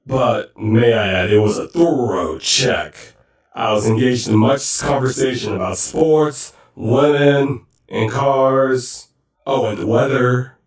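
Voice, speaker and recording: A man's voice: mid-range voice, slow. A man playing an easygoing cyborg soldier in an audio drama, boastful, earnest and prone to rambling. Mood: chatty, rambling. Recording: very echoey, distant-sounding room.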